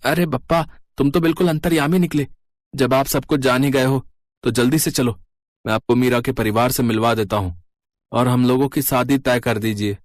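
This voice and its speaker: A man's voice: mid-range voice, fairly even intonation, normal speed, no echo, clean studio sound, lightly acted. A man voicing an impatient, cheeky young beggar eager to be a groom in a cartoon.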